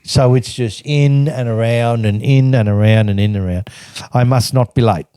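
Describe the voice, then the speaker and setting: A man's voice: medium pitch, moderate pace, warm tone, smooth, dry recording, studio quality. An older man reading from his old travel journal and telling the stories behind it in a casual, chatty way, laughing often. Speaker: podcast guest recounting travels.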